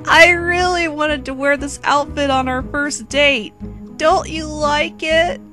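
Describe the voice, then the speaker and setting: Woman acting a high-voiced, glib scheming young woman. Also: fairly even intonation, takes it slowly, bright, forceful tone, full character voice. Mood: mock innocent. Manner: glib, mock-innocent. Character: scheming young woman feigning innocence on a date. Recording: background music under the voice.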